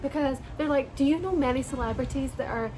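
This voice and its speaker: A young woman from Scotland with a soft, partly Americanized Scottish accent, chatting casually to camera with lots of likes and ums. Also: sits high, fast talker, noisy background. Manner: chatty, frequent likes and ums, laughs between words. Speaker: vlogger. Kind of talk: casual personal storytime.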